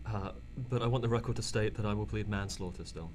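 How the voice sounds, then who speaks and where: A man's voice: lowish voice, steady tone, quick pace, darkish tone, a little hiss or hum, lightly acted. An actor playing a resentful man being questioned as a murder suspect, speaking hesitantly and defensively in an amateur radio drama.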